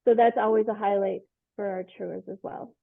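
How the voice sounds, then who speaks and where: Female host, mid-pitched, conversational promotional interviewing, narrow tinny call sound with no room echo. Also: steady tone, moderate pace, vocal fry. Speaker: interviewer and tour company host. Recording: tinny phone-like call audio, dry.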